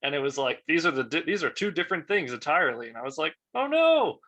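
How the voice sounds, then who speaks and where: Bright-voiced young man on a panel. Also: on the high side, sing-song, quick pace. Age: young adult. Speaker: video animator on a panel. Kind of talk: casual panel talk.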